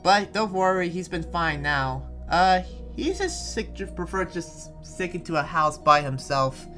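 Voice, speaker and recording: A man playing an uneasy, apologetic town guardian in an improvised anime game roleplay, explaining in a halting, hedging way. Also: high-pitched, very animated, bright, forceful tone, soft background music, exaggerated voice.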